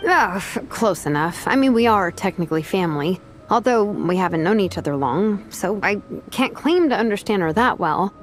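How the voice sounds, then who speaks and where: A woman's voice: medium pitch, lively intonation, normal speed, lightly acted. A woman voicing a dry, level-headed young craftswoman in a video game, straight-faced and offhand, with music behind her. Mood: dry, exasperated.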